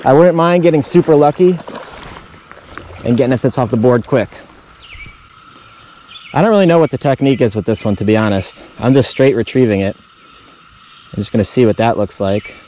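An easygoing man talks through his fishing session outdoors by a river, his voice at a middle pitch. He rambles in a relaxed, chatty way and lets loose with excitement now and then. Faint crickets and insects sit behind him. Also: normal speed, long pauses, slight background noise. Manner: laid-back, chatty, bursts of excitement at catches. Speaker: fishing vlogger. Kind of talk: casual play-by-play while fishing. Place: outdoors wading a river tributary.

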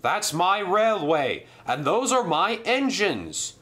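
A man's voice: sits high, sing-song, slow, pushed and bright, pitched above the performer's own voice, full character voice. A man doing a proper, fussy railway boss. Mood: dismayed. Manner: proper, exclaiming.

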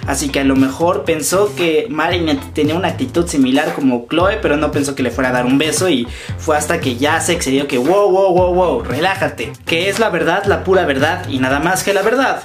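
A man's voice: on the high side, a little fast, hardly any pauses. A man in his twenties with a Mexican accent, chatting casually as a YouTuber commenting for fans and reading comments aloud. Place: small room indoors. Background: background music.

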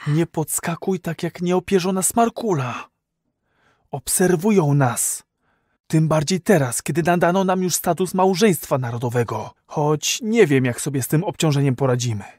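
A sullen, weary husband, dry sound. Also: male voice, fairly high pitch, lively intonation, moderate pace, a little mellow, breathy edge, no echo. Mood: dour, scolding. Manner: scolding, dour, resigned. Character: weary, sullen, controlling middle-aged husband. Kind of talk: dystopian radio play acting.

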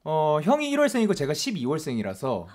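A man's voice: on the high side, huge pitch swings, fast, darkish tone. A man of thirty hosting a radio talk show and reading listener messages into a close studio microphone. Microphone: studio microphone with pop filter, close.

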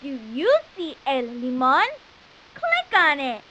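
A woman playing a cheerful, encouraging little girl cartoon explorer, enunciating clearly as she gives enthusiastic instructions in a children's educational game. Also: high voice, wildly swooping pitch, slow pace, pushed and bright, a little hiss or hum, full character voice, low-quality recording. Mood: encouraging, instructive.

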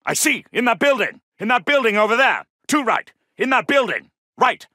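A man's voice: very high-pitched, sing-song, normal speed, sharp tone, no echo, clean studio sound, heavily acted. A man voicing an alert soldier in a video game, giving clipped, urgent military callouts. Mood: brisk, confirming. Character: alert, gruff British male Second World War soldier. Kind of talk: shouted combat callouts.

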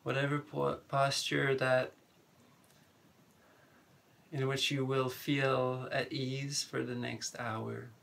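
A man guiding a meditation in soothing phrases with long pauses between them. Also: medium pitch, little pitch movement, gravelly, a bit bright. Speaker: meditation teacher. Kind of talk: guided meditation.

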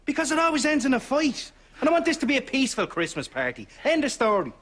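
High-voiced exasperated Irish son. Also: male voice, huge pitch swings, fast, a bit bright, exaggerated voice. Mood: firm. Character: matter-of-fact adult Irish son, mildly exasperated. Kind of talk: studio sitcom acting. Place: indoor sitcom kitchen set.

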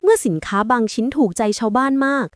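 A woman narrates a storybook tale in an expressive way. Her voice sits fairly high and moves with very lively intonation, recorded dry and close. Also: fast, dry recording.

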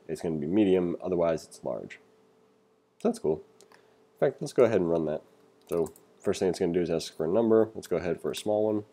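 A man's voice: deep voice, warm tone, breathy edge. A man working as a programming tutor, reading along from a text and adding casual, opinionated asides in a conversational way. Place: voice-over for a screen recording.

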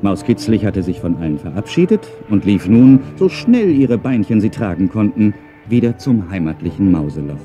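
A man's voice: on the low side, big pitch swings, moderate pace, few pauses. A man reading scripted narration for a children's audio play, as a storyteller. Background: music.

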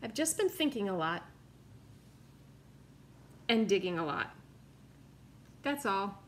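A woman voicing a calm, thoughtful young wombat in a children's storybook, speaking slowly and gently. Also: medium pitch. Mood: mild. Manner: slow, gentle, unhurried.

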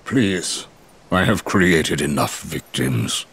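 An actor playing a remorseful, stiffly formal scientist in a video game cutscene, pleading and defending himself. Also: male voice, very deep voice, expressive, slow pace, fairly bright tone, breathy edge, full character voice.

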